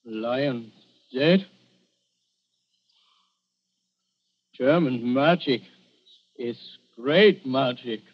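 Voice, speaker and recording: An actor playing an imperious young African chieftain in 1940s radio style, giving terse, halting commands in broken English. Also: male voice, mid-range voice. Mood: awed. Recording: muffled 1940s radio recording, music behind.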